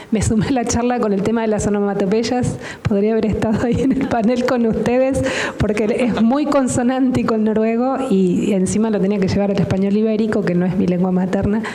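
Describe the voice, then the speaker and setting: A woman in the audience asks the panel a question, explaining it at a measured pace. Her voice is warm and darker in tone, and it rings with the echo of a large hall over faint noise. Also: mid-range voice. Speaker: audience member asking a question. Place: book fair hall. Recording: roomy hall sound.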